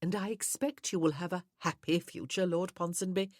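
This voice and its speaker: A woman reader plays an amiable older lady of title in a period romance audiobook. She is comfortable and motherly, with genteel, polite phrasing. The voice is slightly husky and recorded dry. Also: lowish voice, steady tone, moderate pace, a little mellow, no echo, voice pushed up, close to an everyday voice. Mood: polite goodwill.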